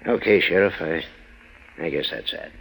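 Husky, haughty crime boss. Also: male voice, low voice, normal speed, fairly bright tone, exaggerated voice, poor audio. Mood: evasive, bitter. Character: haughty, indignant wealthy male crime mastermind. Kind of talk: 1940s radio drama acting.

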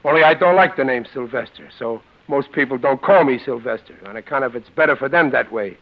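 A man acts out a tough, none-too-bright Brooklyn guy from a forties radio play. His voice is very husky, his talk slangy and touchy, full of wisecracks. The recording is old and muffled. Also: medium pitch, a little fast, close to an everyday voice. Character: tough, dim, touchy Brooklyn lug job-seeker. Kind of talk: 1940s radio drama acting.

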